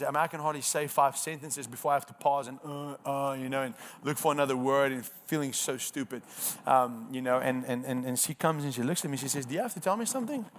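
A man's voice: mid-range voice, slight background noise. A man in his thirties with an Afrikaans accent and Afrikaans as his first language, preaching a personal testimony in an earnest, emphatic storytelling way. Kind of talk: sermon testimony.

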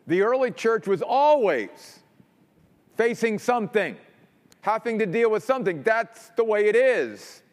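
A man preaching a sermon as pastor, emphatic, dropping low and quiet to stress points. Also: very animated, a little slow, long reverb tail.

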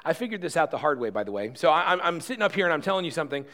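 Male pastor, early 40s, slightly higher voice, chatty and earnest sermon delivery, restarts and asides. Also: big pitch swings, very fast, fairly bright tone. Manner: conversational and earnest, with rhetorical questions and self-deprecating asides; repeats words and restarts often.

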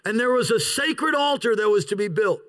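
Man, pastor, outdoor parking-lot sermon, high voice, dramatic pauses. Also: lively intonation, fairly bright tone. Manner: emphatic, dramatic drawn-out pauses. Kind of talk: sermon with opening prayer.

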